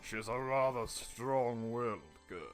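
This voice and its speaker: A man's voice: mid-range voice, steady tone, a little slow, soft background music, lightly acted. A man playing a shrewd, fawning prime minister in an audio drama, speaking in a formal, unctuous manner. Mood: deferential. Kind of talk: radio-play acting.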